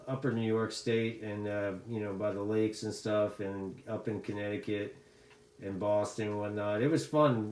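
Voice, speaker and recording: A man's voice: fairly low pitch, a little mellow. A middle-aged American man chatting casually as a CB radio hobbyist, talkative and given to repeating his phrases. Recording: echoey room sound.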